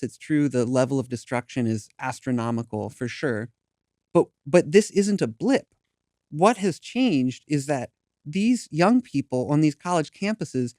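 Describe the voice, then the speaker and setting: Earnest higher-voiced male activist. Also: darkish tone, no room sound, clean studio sound. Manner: earnest, emphatic, some stumbles. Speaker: activist guest. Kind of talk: impassioned interview talk.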